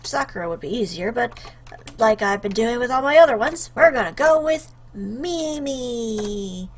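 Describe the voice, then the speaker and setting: A woman hosting a casual let's play, commenting in an expressive, playful, joking way. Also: fairly high pitch, unhurried. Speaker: host, gaming YouTuber. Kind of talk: casual let's play commentary, reading game lines aloud.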